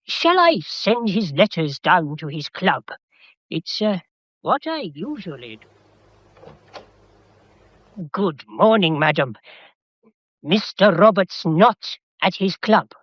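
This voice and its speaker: English household servant, radio mystery. Proper, deferential, low and very unhurried, dull recording. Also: female voice, very animated, no echo, heavily acted. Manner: formal, measured, deferential. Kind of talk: radio mystery drama acting. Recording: dull, muffled lo-fi audio.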